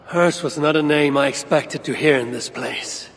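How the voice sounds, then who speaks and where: Gravelly, solemn Norse god. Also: male voice, fairly high pitch, a bit bright. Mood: stern. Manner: formal, measured, stern. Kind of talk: video game cutscene acting. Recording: long echoing reverb, faint ambient noise.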